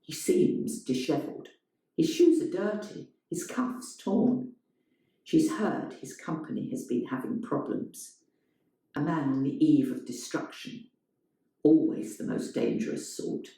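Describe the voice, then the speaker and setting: A woman narrating a literary short story in a measured, dramatic manner. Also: low voice, animated, mellow. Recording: strongly echoing, roomy sound.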